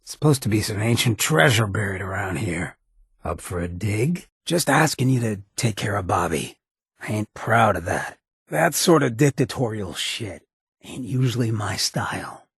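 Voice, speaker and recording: An actor playing a roguish, irreverent ghoul mayor in a video game, with a raspy voice, an American accent and a streetwise, sardonic drawl. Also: male voice, lowish voice, sing-song, moderate pace, fairly bright tone, no echo, clean studio sound, heavily acted. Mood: wry, curious, then grim, scornful. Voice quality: raspy, gravelly. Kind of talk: video game character dialogue.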